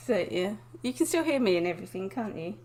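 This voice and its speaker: A woman from England, chatting casually to viewers on a live stream from her desk, croaky and sniffly with a cold, often laughing. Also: mid-range voice, noisy background. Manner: chatty, giggly, greets viewers by name. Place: home desk indoors.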